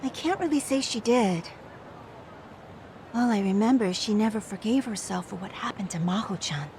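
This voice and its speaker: An actress playing a gentle woman who recalls an old friend's past in a conversational, wistful way for a video game cutscene. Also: mid-range voice, normal speed, a little hiss or hum, lightly acted. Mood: somber, sympathetic. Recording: studio voice with music behind.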